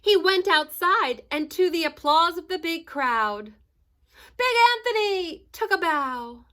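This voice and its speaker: A woman with a high, very lively voice reads a picture book to young children. She is expressive and takes her time, stressing the drama like a teacher and adding asides for her listeners. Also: slow. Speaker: teacher reading aloud to first graders. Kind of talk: animated children's storybook read-aloud with asides to the listeners.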